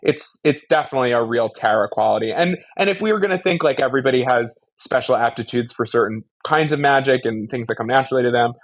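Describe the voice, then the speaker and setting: A man co-hosting a podcast, chatting casually in an animated, riffing way and swearing freely. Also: medium pitch, fast, few pauses. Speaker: podcast co-host. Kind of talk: casual chat discussing an audio drama.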